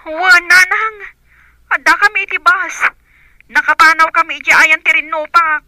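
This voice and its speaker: A woman's voice: very high-pitched, fairly even intonation, moderate pace, heavy background noise. A woman acting a weary grown daughter fleeing war, earnest and plaintive, in an Ilocano-accented radio drama. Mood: urgent.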